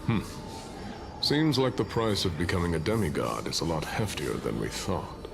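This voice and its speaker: A man's voice: sits low, big pitch swings, moderate pace, gravelly, heavily acted. An actor playing the spirit of a grim, battle-worn male warrior in a video game, somber and resigned.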